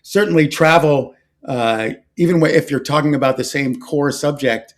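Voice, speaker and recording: A middle-aged American man hosting a casual podcast interview, agreeable and rambling, in halting phrases. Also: fairly high pitch. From Philadelphia area, US. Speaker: podcast host.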